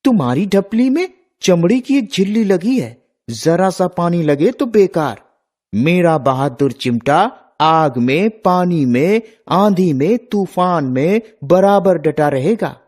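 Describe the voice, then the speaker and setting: A woman's voice: fairly low pitch, animated, takes it slowly, a little mellow. A woman voicing a brave, caring young village boy in a children's story. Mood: proud, defiant.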